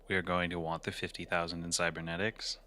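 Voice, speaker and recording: A man's voice: low voice, flat delivery, quick pace, sharp tone. A man playing a wry, cavalier cyberpunk mercenary in a tabletop game, glib and understated in his delivery. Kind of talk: improvised tabletop role-play.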